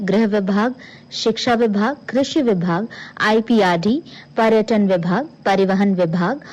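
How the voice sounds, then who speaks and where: A woman's voice: medium pitch, a little mellow. The audio is dull and lo-fi. A woman correspondent with a mid-range voice reads out a scripted news report for radio.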